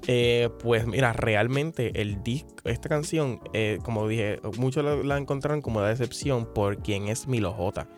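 A man's voice: mid-range voice, a little slow, hardly any pauses. A man from Puerto Rico with a Puerto Rican accent, co-hosting a casual music chat and often stumbling and restarting his sentences. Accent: Puerto Rican Spanish. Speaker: podcast co-host.

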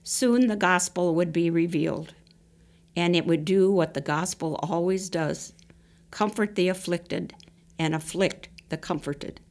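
A woman's voice: lowish voice, unhurried, a little mellow. A woman reading scripture and a written devotional reflection aloud. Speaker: devotional reader.

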